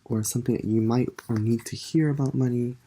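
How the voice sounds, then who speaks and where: A congested tarot reader leading a relaxed pick-a-card reading, speaking softly and musing in a meandering way. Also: mid-range voice, brisk, noisy background. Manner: soft-spoken, meandering, musing aloud.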